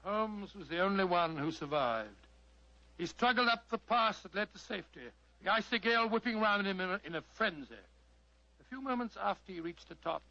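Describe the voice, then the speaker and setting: A genial old English gentleman telling a tale in a 1940s radio drama. His voice sits high and rings bright and forceful. The sound is a dull vintage broadcast. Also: slight background noise, exaggerated voice. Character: Doctor Watson, genial older English gentleman storyteller.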